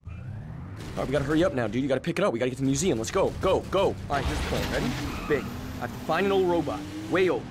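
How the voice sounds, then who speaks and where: An actor playing a frantic, chatty young man in a video game cutscene, hurried and informal. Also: mid-range voice, sing-song, over music.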